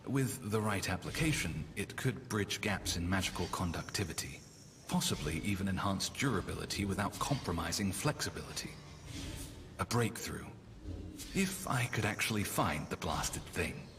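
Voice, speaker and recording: A man's voice: low-pitched, animated, moderate pace. A man playing a frustrated, obsessive scholar of ancient machines in a fantasy game, speaking in a brooding, articulate, bookish way. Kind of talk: dramatic video game character voice acting. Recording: long hall reverb, loud background noise.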